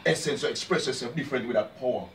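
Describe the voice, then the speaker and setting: A man's voice: mid-range voice, fast, fairly bright tone, slight background noise. A man from Dominica with a Jamaican-influenced Caribbean accent, a dancehall artist talking casually in an interview and often asking "you understand?". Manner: laid-back, repeats "you understand", dialect speech. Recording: roomy sound, distant mic, faint ambience.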